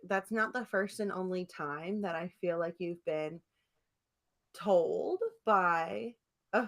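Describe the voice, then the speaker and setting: A woman's voice: mid-range voice, fairly bright tone. A woman co-hosting a podcast in a casual chat, talking in a rambling, teasing way. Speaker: podcast co-host.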